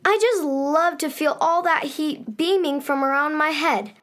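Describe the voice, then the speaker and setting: A woman's voice: sits high, a little slow, noisy background. An actress playing a sunny, self-satisfied young girl who rules summer in a children's radio drama, breezy and gushing. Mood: cheerful, proud.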